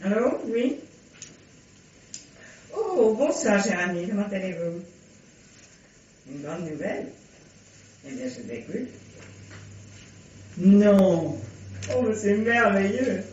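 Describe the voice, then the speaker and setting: A woman's voice: medium pitch, very animated, takes it slowly, pauses often, a little mellow. An actress playing a self-assured, blunt woman novelist in a radio mystery play, with articulate theatrical delivery. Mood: delighted. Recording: dull, roomy, noisy old radio recording.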